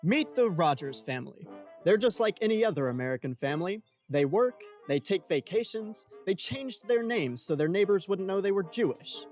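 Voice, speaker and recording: A genial narrator, played by a man introduces a mock old-time radio sitcom. His voice is fairly high, with music playing behind it. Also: lively intonation, moderate pace. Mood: jolly.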